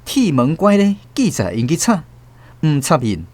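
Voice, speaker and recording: A man's voice: a little high, moderate pace. A man, host and narrator, reading a story in scripted narration from a radio studio. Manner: steady storytelling.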